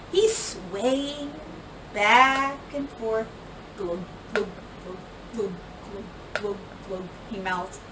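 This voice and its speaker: A woman reading a children's picture book aloud like a teacher, with expressive, emphatic delivery that acts out the words. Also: a little high. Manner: animated, emphatic delivery with acted-out dialogue and sound words. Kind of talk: expressive storybook read-aloud. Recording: roomy, distant microphone, clear background noise.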